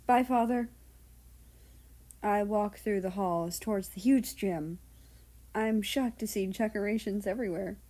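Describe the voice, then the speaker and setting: A woman narrating fan fiction aloud in an expressive amateur audiobook style. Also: medium pitch, moderate pace.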